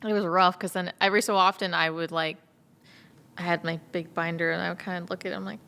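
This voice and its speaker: Female actor in casual conversation, slightly low voice, hall-like reverb around it. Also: level tone, fast talker, creaky, sharp tone, clear, resonant tone. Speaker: actor, cast member. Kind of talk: casual chat.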